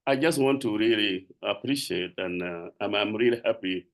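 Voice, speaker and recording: A South Sudanese man speaks on a video call with a low, gravelly voice. He talks haltingly, restarting phrases in an earnest, searching way. He sounds like a panelist paying tribute. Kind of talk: tribute speech on a webinar.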